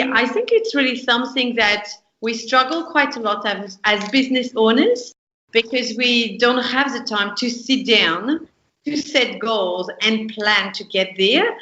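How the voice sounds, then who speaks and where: A woman's voice: a little high, bright, forceful tone. A woman from France with a French accent, a business lecturer explaining step by step as a podcast guest. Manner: earnest, explains with step-by-step examples. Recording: echoey room, dull lo-fi sound.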